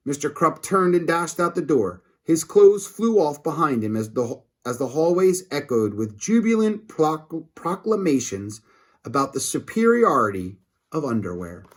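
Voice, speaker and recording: A man reading a story aloud to children in an expressive, dramatic way, adding casual asides. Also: a little high, measured pace. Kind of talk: animated read-aloud narration with asides.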